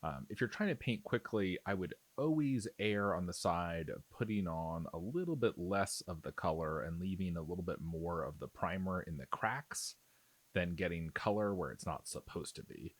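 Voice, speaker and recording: Guy with a lowish voice doing a chatty how-to, really animated pitch, close dry sound. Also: normal speed, no room sound. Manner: conversational, thinking aloud. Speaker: miniature painting tutorial host. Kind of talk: casual, explanatory tutorial narration over painting.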